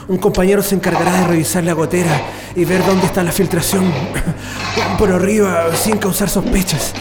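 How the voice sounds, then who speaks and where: A man's voice: sits high, steady tone, moderate pace, lightly acted. An actor with a Chilean accent playing a resourceful, cautious male prisoner in a radio drama. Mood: practical, cautious. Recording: echoey long reverb with music behind.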